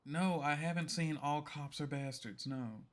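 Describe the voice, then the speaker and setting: A man's voice: fairly high pitch, a little slow. A man giving casual live stream commentary on a game, joking and swearing as he rambles through his opinions.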